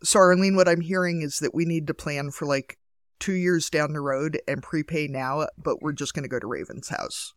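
A man's voice: a little high, normal speed, no echo, clean studio sound. Joking man podcast co-host. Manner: joking, talkative. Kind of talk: casual chat.

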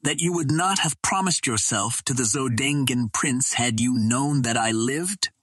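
A man's voice: medium pitch, unhurried, a bit bright, dry recording, voice pushed up. A man reading an audiobook, playing an ardent and determined soldier hero who speaks in formal, dramatic declarations. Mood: urgent, defiant.

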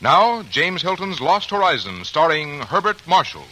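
Smooth radio announcer with lively pitch. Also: male voice, measured pace, very noisy. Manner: smooth, persuasive.

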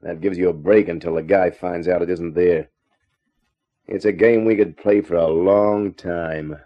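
Cool gumshoe: deep, husky, laconic, 1950s radio crime serial. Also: male voice, fairly even intonation, measured pace. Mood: defiant, cool. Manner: laconic, unflappable. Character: cool, wisecracking hardboiled American private eye. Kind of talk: 1950s radio crime drama, first-person narration and dialogue. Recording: dull old radio recording.

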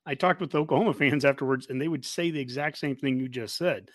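A man's voice: mid-range voice, fast, no room sound, studio quality. An American man talking casual sports as a podcast host.

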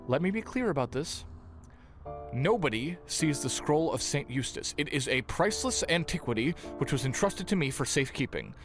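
An actor playing a prim, easily flustered Puritan minister in a period audio drama, speaking formally with a stammer. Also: male voice, mid-range voice, close to an everyday voice. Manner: stammering, formal, fussy diction.

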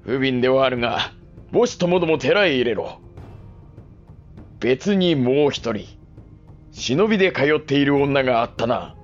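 A man's voice: mid-range voice, expressive, normal speed, long pauses, highs cut off, faint music behind, natural voice. Stern, domineering samurai father, acted by a man. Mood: cold command. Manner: commanding, formal archaic lordly speech. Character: stern, cold, domineering middle-aged samurai patriarch. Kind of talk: period audio drama acting.

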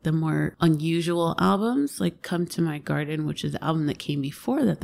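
A woman's voice: sits low, vocal fry, a little hiss or hum. A woman, a singer, chatting thoughtfully in an interview, often restarting her sentences. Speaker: singer and guest. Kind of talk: casual interview chat.

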